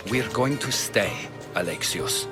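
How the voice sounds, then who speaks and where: A man's voice: on the low side, animated, a little slow, music in the background. An actor playing a grave, loyal Athenian man devoted to his people in a dramatic game cutscene. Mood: solemn, resolved.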